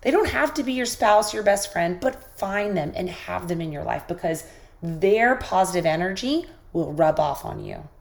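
Woman with a sick, squeaky voice. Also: lively intonation, moderate pace, vocal fry. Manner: encouraging, coaching listeners directly. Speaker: podcast host. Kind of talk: motivational podcast monologue.

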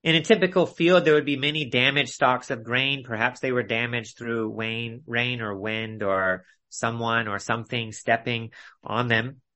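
A man teaching an expository Bible study in an earnest, instructive way with measured phrasing, speaking over an online video call. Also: medium pitch, fairly even intonation, bright, forceful tone.

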